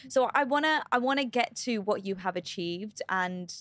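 A woman's voice: mid-range voice, lively intonation, normal speed, a bit bright, no room sound. Encouraging woman podcast host. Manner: encouraging, complimentary, many listening mm-hmms. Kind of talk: interviewing a guest. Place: podcast studio.

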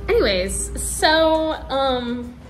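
Casting: teen woman vlogger, eighteen, bright-ish voice, dry sarcasm, music under her. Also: high-pitched, low-quality recording. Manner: sarcastic, deadpan, swearing. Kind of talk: casual vlog chat. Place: car, then small hotel room. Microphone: phone held at arm's length.

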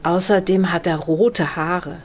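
A woman voicing a picky, excitable young woman who judges possible dates. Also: lowish voice, slow. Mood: dismissive.

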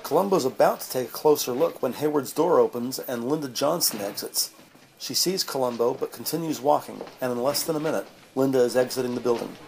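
A man's voice: mid-range voice, a little fast, vocal fry, a little mellow, slight background noise, rough recording. A man narrating a scripted audio drama in a descriptive storytelling style.

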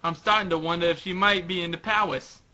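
A man voicing a small, earnest hero from a comic, animated and strained with worry. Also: high-pitched, fast talker, a little hiss or hum. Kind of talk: amateur comic read-aloud. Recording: dull lo-fi voice recording.

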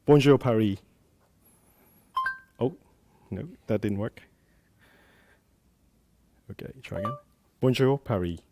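A man's voice: on the low side, slow, pauses often, background noise. A male conference speaker, a native Cantonese speaker from Hong Kong, talks to a room full of developers. His delivery is loose and conversational, dotted with "um" and "uh" as he explains his slides. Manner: conversational, many "um" and "uh" fillers. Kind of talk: live tech talk.

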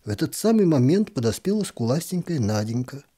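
A man reading a literary short story aloud in a calm, even storytelling manner, now and then dropping to a whisper. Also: mid-range voice, fairly quick, breathy edge. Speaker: narrator.

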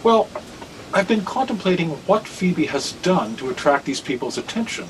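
An older man acting in a radio drama as an anxious, grave reverend with a deliberate, formal way of talking. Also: high voice, sing-song. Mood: worried. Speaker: radio play actor. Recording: distant, noisy video call audio.

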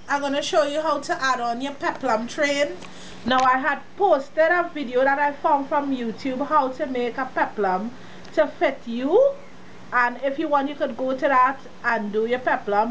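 A woman's voice: high voice, fairly bright tone, slight background noise, poor audio. A woman with a cold and a sniffle, walking viewers through a sewing project in a chatty, casual way. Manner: chatty, talks straight to the viewer. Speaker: sewing tutorial host. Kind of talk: casual step-by-step sewing tutorial.

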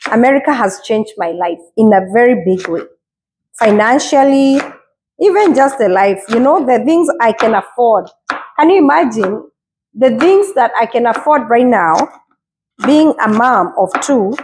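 A woman's voice: fairly high pitch, animated, a little slow, noisy background. A woman, casually encouraging viewers in a vlog while cooking in her home kitchen, recorded on a lapel mic. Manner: earnest, encouraging, repeats herself; talks while washing and chopping vegetables. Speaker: vlogger.

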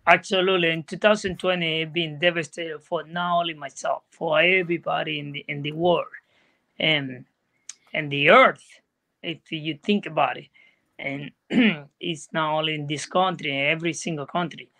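A man's voice: fairly high pitch, fairly even intonation, moderate pace, gravelly, bright, forceful tone. A middle-aged man from Venezuela with a Venezuelan Spanish accent, a native Spanish speaker, speaking earnestly in plain non-native English as a guest on a video call from a room indoors. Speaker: guest jockey. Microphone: video call from laptop.

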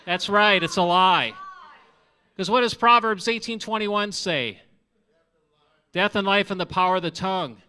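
A man's voice: high voice, measured pace, pauses often, pushed and bright, clean tone. A man in his forties with a Minnesota accent, giving his testimony from a church stage on a handheld mic in an earnest, conversational way. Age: 40s. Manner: earnest and conversational, asks the audience questions. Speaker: missionary. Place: large church sanctuary with audience. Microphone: handheld microphone.

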